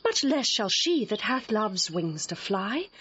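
Young noblewoman in Shakespearean radio play: headstrong, romantic, lyrical, breathy-husky tone, slightly dull recording. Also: medium pitch, sing-song, measured pace, a bit bright, heavily acted. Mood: yearning, pleading. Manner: ardent, lyrical verse delivery. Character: lovestruck, headstrong young noblewoman, eloquent and playful. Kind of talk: classical Shakespearean audio drama.

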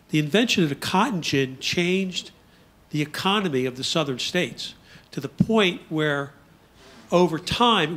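A man's voice: a little high, fairly bright tone. A man making an explanatory comment off the cuff during a discussion.

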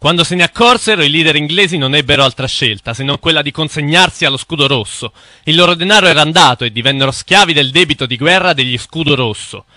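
A man's voice: a little high, fast, pushed and bright, no echo, studio quality. A man playing an intense, bitter cartoon crusader with a grave, preachy, dramatic delivery. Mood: grave, indignant. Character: intense, bitter conspiracy-minded man on a crusade against bankers. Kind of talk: dubbed cartoon dialogue.

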